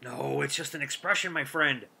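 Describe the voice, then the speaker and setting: An actor playing an excitable, easygoing working man in a radio mystery play. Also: medium pitch, normal speed, creaky, husky, full character voice. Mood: joking. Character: excitable, easygoing male workman with gold dreams.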